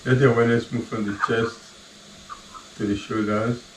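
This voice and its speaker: A man leading a guided relaxation, giving slow, soft, calm cues with long pauses between them. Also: mid-range voice. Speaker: meditation guide. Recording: roomy echo, voice far from the mic, faint ambient hum.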